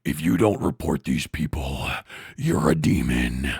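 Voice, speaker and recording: A man's voice: rumbling low, sing-song, unhurried, gravelly, a little mellow, husky, dry recording, clean studio sound, pitched below the performer's own voice, heavily acted. A man mockingly voicing a pompous male YouTuber who issues grave, melodramatic moral ultimatums. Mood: grave menace.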